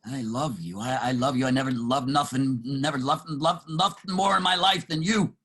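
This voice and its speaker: Male actor as lovesick, down-and-out veteran, husky voice, halting, earnest, plain-spoken stage drama delivery. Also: mid-range voice, fairly bright tone. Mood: pleading devotion. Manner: earnest, halting, repeats himself, colloquial grammar. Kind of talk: dramatic table reading.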